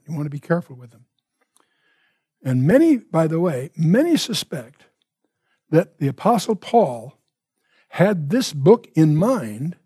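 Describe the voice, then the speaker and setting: A man teaching from the Bible in an expository style, with emphatic, deliberate phrasing and off-the-cuff asides. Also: high-pitched, warm tone, breathy edge, no echo, studio quality.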